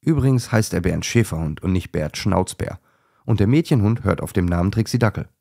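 Friendly male snow hedgehog played by a man, Russian accent, low and rough, children's audio story. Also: animated, a little fast, dark tone, exaggerated voice. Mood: firm, soothing.

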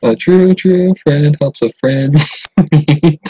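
A man's voice: on the high side, takes it slowly, mellow, low-quality recording. A man hosting a livestream and chatting casually while playing a game, giggling and reacting to the dialogue. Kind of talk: casual gameplay commentary.

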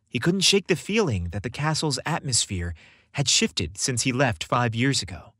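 Male narrator for story podcast. Animated read, dry studio-tight sound. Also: mid-range voice, no echo.

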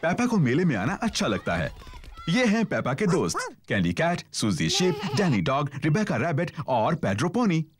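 A man's voice: on the high side, huge pitch swings, moderate pace. Man narrating a cartoon story.

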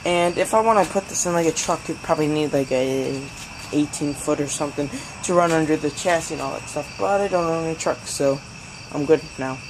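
A man's voice: fairly high pitch, fairly quick. A young male hobbyist giving a casual, rambling, slangy product review outdoors at night. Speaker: hobbyist vlogger. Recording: noisy outdoor recording with insects.

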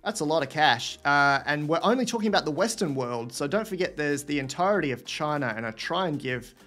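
A man's voice: on the high side, lively intonation, a little fast, bright, forceful tone, soft background music. Man hosting a casual livestream Q&A. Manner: casual, thinks aloud, reads out viewer questions. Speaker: host answering viewer questions. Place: room at home, indoors.

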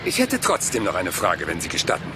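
Rambling, lively-pitched police inspector. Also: male voice, a little fast, very noisy. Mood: politely persistent. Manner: hesitant, meandering, deceptively apologetic. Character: rumpled, polite, probing police inspector, seemingly absent-minded. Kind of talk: German crime radio drama acting. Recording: music behind the voice.

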